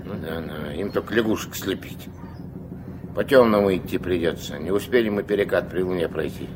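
Man playing old captain, very low voice, gruff, folksy, commanding, weary grandfather, noisy vintage radio play. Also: brisk, lightly acted. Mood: grumbling, instructive. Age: older. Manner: curt orders, folksy speech, weary sighs. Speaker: riverboat captain. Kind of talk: Soviet radio drama acting.

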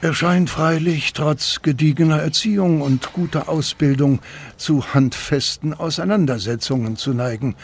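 An actor playing a fussy Parisian landlord who reports as an informant in a radio play, formal, stilted and prim. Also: male voice, medium pitch, a little hiss or hum, natural voice. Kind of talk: radio play monologue, formal written report read aloud.

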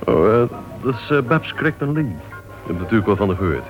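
An actor playing a chatty, genial club member in a Dutch radio play. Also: male voice, fairly low pitch, sing-song, a little fast, music in the background. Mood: genial, teasing. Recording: dull old radio recording.

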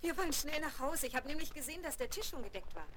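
A well-bred young city girl in a 1970s radio play, eager and self-assured in a high, girlish pitch. The vinyl recording is very noisy. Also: expressive, quick pace. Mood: confident, chatty.

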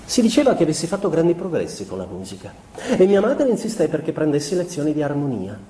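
An introspective young man weighed down by guilt played by a male stage actor confesses in a literary theatrical monologue. His voice is dark and mellow, his recitation measured and expressive. The sound is very roomy, with a light layer of background noise. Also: medium pitch, natural voice.

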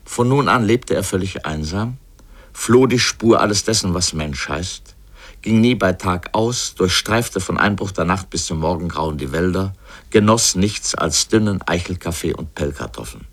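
Deep voiced guy reading a literary radio essay, kinda sardonic and emphatic. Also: animated, moderate pace. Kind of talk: scripted literary radio narration.

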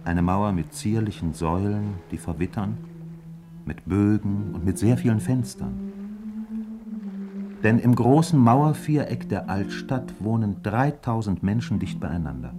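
A man narrating a 1950s German radio drama as a measured, reflective storyteller with literary phrasing. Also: lowish voice, lively intonation, music in the background.

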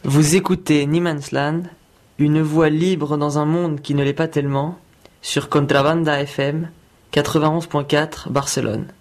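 A man's voice: a little high, steady tone, moderate pace. A man announcing a radio station ident in a scripted style.

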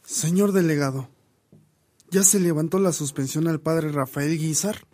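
Pushy formal mexican bishop, kinda high voice, radio drama. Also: male voice, unhurried, warm tone. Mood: insistent. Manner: formal, insistent. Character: insistent, protective newly elected bishop.

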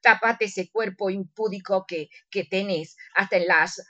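A woman's voice: mid-range voice, measured pace, fairly bright tone, no room sound, muffled recording. A woman playing a prudish, embarrassed older lady in a comedy radio play, hesitant and stammering, with an Argentine accent. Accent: Argentine Rioplatense.

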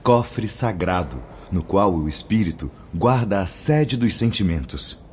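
An actor playing a solemn male spirit teacher in a radio drama, with a Brazilian accent and a reverent, measured, declamatory delivery. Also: deep voice, expressive, darkish tone, slight background noise. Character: Brother Clemente, solemn male spirit teacher instructing pupils. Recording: dull lo-fi audio under music.

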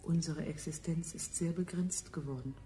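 An actress playing a grave, quietly rebellious enslaved woman in a 1970s radio play, with a measured, solemn delivery. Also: deep voice, fairly even intonation, close to an everyday voice.

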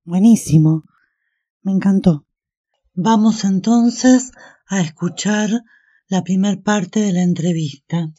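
An Argentine woman presents a radio show in a friendly, conversational way. Her voice is very dark and mellow, at a medium pitch, and recorded dry. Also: slow pace, pauses often, no echo, muffled recording.

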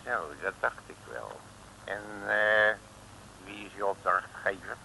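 Radio-serial detective, sly uncle type, piercingly bright and gravelly, folksy teasing, lightly noisy old recording. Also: male voice, lowish voice, slow, exaggerated voice. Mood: coaxing, menacing. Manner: folksy, teasing, colourful mock-oaths. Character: wily, jocular male detective, self-styled uncle.

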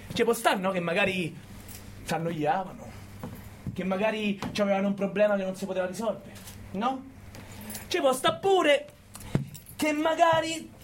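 An actor playing a cynical, resentful prison guard with a Roman accent, goading the listener colloquially in a stage monologue. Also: male voice, high-pitched, a bit bright, a little hiss or hum. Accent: central Italian, Roman. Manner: colloquial, sneering, goading with repeated tag questions. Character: cynical, resentful, underpaid male prison guard, scornful of inmates. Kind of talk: theatrical monologue addressed to an audience.

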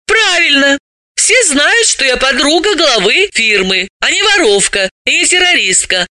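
Here is a brisk, self-assured woman sleuth from an audiobook, acted out by a man. The voice is pitched high and sounds very bright, swinging widely as it explains with businesslike emphasis and a mocking edge. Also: big pitch swings, no room sound, studio quality, heavily acted. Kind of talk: audiobook character voice.

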